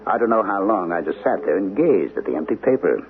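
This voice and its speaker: List: a wry brooding writer in his forties, lively up-and-down delivery, tinny old radio sound, faint hiss. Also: deep voice, fast talker. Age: 40s. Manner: wry, musing, drifting into gloom. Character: weary 42-year-old male writer, brooding. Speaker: narrator and lead. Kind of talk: 1970s radio drama acting.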